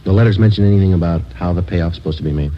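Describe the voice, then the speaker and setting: An actor in a 1950s radio police drama playing a terse, stoic sergeant who narrates cases in a clipped, matter-of-fact way. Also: male voice, low voice, very fast, natural voice. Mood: deadpan. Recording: muffled vintage radio recording with music.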